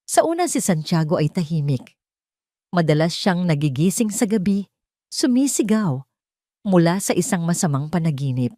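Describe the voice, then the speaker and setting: A Filipino woman narrates a scripted drama in a fairly low voice. Her storytelling is measured and solemn. The recording is dry and close, with very little room sound. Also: very animated, dark tone, no echo.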